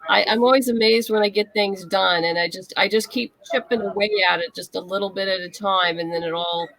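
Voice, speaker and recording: A woman's voice: medium pitch, fairly even intonation, brisk, fairly bright tone, rough recording. A woman answering as an interview guest in casual chat, easygoing, modest and thoughtful.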